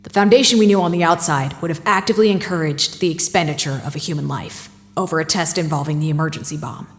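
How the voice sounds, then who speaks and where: A woman acting in an audio drama as a driven, weary site director, insistent and deliberate. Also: low voice, long reverb tail, soft background music. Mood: solemn, resolute.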